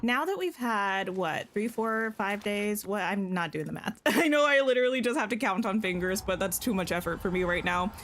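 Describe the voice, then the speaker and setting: Female game streamer, casual and chatty with her chat, slightly scratchy voice, mid-range pitch. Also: expressive, normal speed, few pauses, fairly bright tone. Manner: chatty, greets and answers viewers, thinks aloud. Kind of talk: casual live-stream chat while playing.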